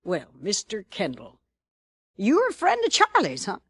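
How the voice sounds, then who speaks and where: An actress playing a coy, flirtatious frontier boarding-house madam. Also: female voice, medium pitch, wildly swooping pitch, unhurried. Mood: flirty. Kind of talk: 1950s radio drama acting. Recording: old radio recording, faint hiss.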